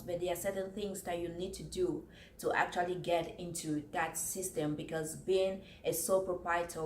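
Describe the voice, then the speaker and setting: A woman's voice: lowish voice, a little mellow. Woman, vlogger, earnest, repeats herself, echoey room. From Cameroon. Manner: earnest, repeats points, reads from notes. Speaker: vlogger giving business tips. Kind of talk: casual talk to camera. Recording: roomy echo, faint background noise.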